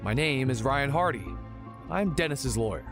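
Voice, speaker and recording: A man's voice: mid-range voice, animated, normal speed, over music, lightly acted. A man playing a polite but insistent defense lawyer in an audio crime drama. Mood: smooth, insistent.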